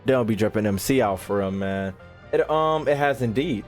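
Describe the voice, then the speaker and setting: A man's voice: on the low side, animated, moderate pace. A young man doing casual live-stream commentary on a game, with playful, slangy exclamations. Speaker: gaming streamer reacting to a game. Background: game audio and music.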